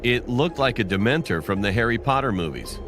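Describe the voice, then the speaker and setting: A man's voice: mid-range voice, lively intonation, a little fast. A man narrating a scripted scary story in the first person. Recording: music under the voice. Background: clearly audible music.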